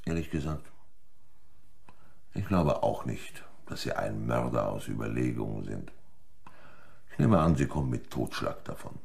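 A man acting a composed examining magistrate in a German crime radio play, formal, measured and condescending. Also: rumbling low, expressive, vocal fry, darkish tone, heavily acted. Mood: condescending, conclusive. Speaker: actor.

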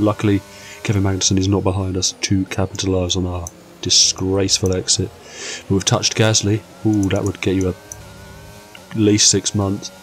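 A young man with a cold, croaky, giving excitable, jokey commentary over his racing game. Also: lowish voice, slight background noise. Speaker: gaming channel host. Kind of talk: casual gameplay commentary.

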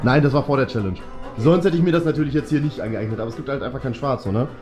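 A man's voice: medium pitch, very fast. A man in his twenties chatting casually as a video host and gaming commentator, jokey, often repeating short phrases. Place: indoor rooms, studio and office. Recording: music behind the voice. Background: background music.

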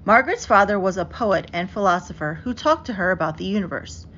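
A woman reads a children's picture book aloud in an expressive, carefully enunciated storytelling manner. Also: mid-range voice, fairly quick. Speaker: storybook reader. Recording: dull lo-fi audio with clear background noise.

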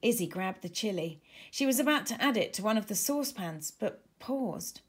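A woman reading a children's storybook aloud in an expressive, deliberate way. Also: medium pitch, breathy edge. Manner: animated, deliberate. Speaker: author reading own storybook.

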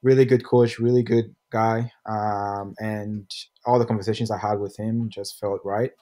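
A young man from Ghana talks over a video call as a podcast guest. His voice sits fairly low and he tells his story in a relaxed, rambling way, restarting phrases as he goes. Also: moderate pace. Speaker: guest, pro soccer player. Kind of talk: casual interview answers.

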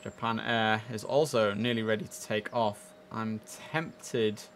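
A man's voice: on the low side, steady tone, measured pace, fairly bright tone, slight background noise. A young man chatting casually and easily as he hosts a live gaming stream, speaking into a headset.

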